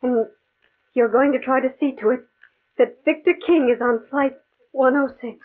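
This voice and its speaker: Anxious high-voiced woman, vintage radio. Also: steady tone, moderate pace. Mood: uneasy, hushed disbelief. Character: anxious, pleading woman, conscientious confidante of a scientist. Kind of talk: 1950s radio drama acting. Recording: tinny, band-limited old radio recording.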